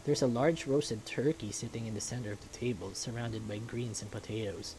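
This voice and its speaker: A man's voice: medium pitch, brisk, mellow, slight background noise, poor audio. A man reading scripted first-person story narration from a visual novel. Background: music.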